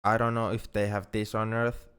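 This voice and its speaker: A man's voice: fairly low pitch, little pitch movement, moderate pace, a bit bright, smooth, lightly acted. A man playing a shy, self-blaming young male alien in an ASMR roleplay, speaking in a hesitant, earnest way. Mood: wistful, sad. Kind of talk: ASMR roleplay audio drama.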